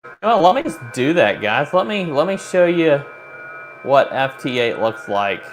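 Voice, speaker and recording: A man's voice: a little high, big pitch swings, normal speed, soft background music. A man hosting a hobby livestream, talking informally and thinking aloud as he walks viewers through a demo. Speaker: livestream host, amateur radio operator. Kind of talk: casual livestream chat, walking through a demo. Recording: noticeable background noise.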